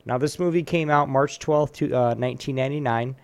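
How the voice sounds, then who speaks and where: A man's voice: medium pitch, fairly quick, no room sound. A man hosts a casual trivia game with an ordinary mid-range voice. He talks informally, with some slang and hesitant phrasing. The recording is close and dry. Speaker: host of a trivia game on a live show. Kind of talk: casual chat, reading trivia aloud.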